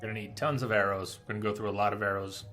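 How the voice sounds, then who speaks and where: A man's voice: on the low side, steady tone, racing, pushed and bright. A man streaming a video game, thinking aloud in casual, musing commentary. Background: game music and rain.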